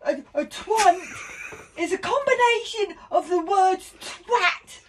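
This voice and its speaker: Woman doing a high eager little schoolboy. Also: slow pace, distant mic, noisy background. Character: cheeky little schoolboy pupil, keen to answer.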